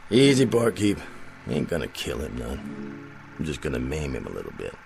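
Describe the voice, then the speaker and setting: An actor playing a laconic, tough, menacing western marshal with terse, drawling cowboy talk. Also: male voice, rumbling low, wildly swooping pitch, moderate pace, vocal fry, darkish tone, faint music behind, voice pushed down, exaggerated voice. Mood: quietly menacing. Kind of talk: western film dialogue.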